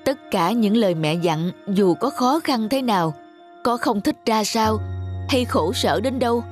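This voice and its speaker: A woman voicing a loving cartoon mother who gives gentle, earnest advice. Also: fairly high pitch, expressive, measured pace. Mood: tender, earnest. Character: loving, instructive mother of a young girl. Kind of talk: cartoon voice acting. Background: music.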